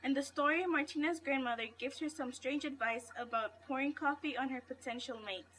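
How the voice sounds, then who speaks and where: A woman's voice: high-pitched, brisk, pushed and bright. A woman reading a folktale aloud as a storybook, with faint noise in the background. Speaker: reader at a read-aloud event. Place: school library.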